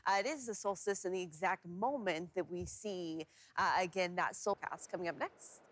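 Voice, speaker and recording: A woman's voice: fairly low pitch, normal speed. A woman working as a TV weather forecaster, speaking in a casual, conversational broadcast style. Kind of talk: casual live weather report and banter.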